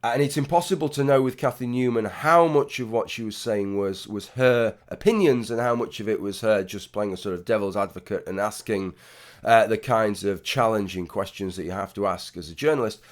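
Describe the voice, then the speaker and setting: A man, hoarse and gravelly after a late night, talking through casual vlog commentary with blunt swearing and many restarts. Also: lowish voice, brisk. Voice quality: gravelly, husky. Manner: rambling, frequent restarts, blunt swearing, wry asides. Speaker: YouTube commentator.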